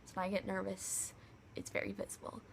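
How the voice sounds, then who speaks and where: A young woman vlogger with a high-pitched voice, casually retelling a story to camera, full of "like" fillers. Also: a little fast, gravelly, a little hiss or hum. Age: young adult. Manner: chatty, many "like" fillers, animated retelling.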